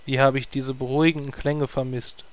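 A man voicing a vain young druid hero in a plain, mid-pitched voice. He drops dry, mocking asides and sounds full of himself. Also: little pitch movement, natural voice. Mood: sarcastic. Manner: wry, sarcastic, quick asides. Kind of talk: comedy audio drama acting.

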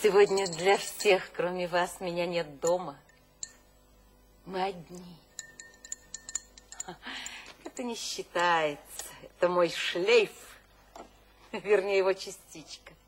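An actress playing an imperious, fervently mystical literary salon hostess in an audio drama, with theatrical declamation and dramatic pauses. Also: female voice, on the low side, steady tone, slight background noise. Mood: intimate, imperious. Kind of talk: audio drama stage acting.

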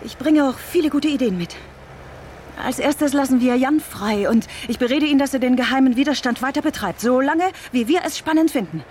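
Woman acting cunning vengeful lady in a radio play, highish voice, taunting and intimate, some background noise. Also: fairly quick, a little mellow, lightly acted. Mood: mocking. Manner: taunting, intimate, theatrical, laughing scornfully. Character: vengeful cunning woman restorer, playful and obsessive. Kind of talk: German radio drama acting.

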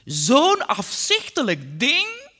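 Woman playing a loud, scornful father. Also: high-pitched, wildly swooping pitch, extremely slow, breathy edge, pitched above the performer's own voice, heavily acted. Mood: mocking laughter. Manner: explosive, contemptuous. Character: harsh, mocking Flemish father, scornful of his daughter.

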